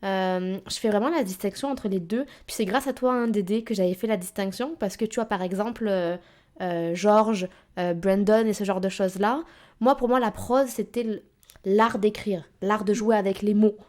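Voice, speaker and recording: Woman podcast co-host, mid-pitched voice, thoughtful and halting, lots of little hesitations. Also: a little mellow. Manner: thoughtful, hesitant with many fillers. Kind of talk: casual chat about books.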